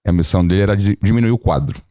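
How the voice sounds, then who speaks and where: A man in his thirties with a very low voice, telling his story calmly and with humor. He speaks as a podcast guest, recorded dry and close on a studio mic. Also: very fast, no room sound. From Brazil. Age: 30s. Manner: relaxed storytelling, jokes. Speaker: guest lawyer. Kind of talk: casual podcast interview.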